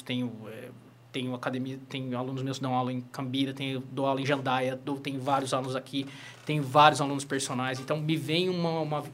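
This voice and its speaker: Fast-talking Brazilian man, lawyer and fighter. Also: on the high side. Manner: animated storyteller, frequent "né?" tags. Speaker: lawyer and jiu-jitsu teacher, guest. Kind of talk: casual interview chat.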